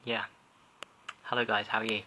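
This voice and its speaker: A man hosting a live social media interview over a video call, rambling and excitable. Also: on the low side, moderate pace, noisy background. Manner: excitable, rambling, laughs loudly. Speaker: host.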